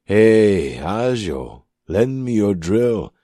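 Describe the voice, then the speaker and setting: A man's voice: on the low side, very animated, very slow, warm tone, no room sound, studio quality, pitched above the performer's own voice, heavily acted. A man voicing a shrewd, coaxing old male scrapper in a narrated science fiction story.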